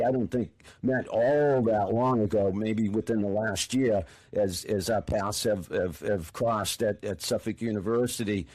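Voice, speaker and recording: A man's voice: lowish voice, darkish tone, poor audio. Enthusiastic middle-aged man, stumbling restarts. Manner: enthusiastic, with many repeated words and restarts. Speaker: podcast host. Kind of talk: casual interview conversation.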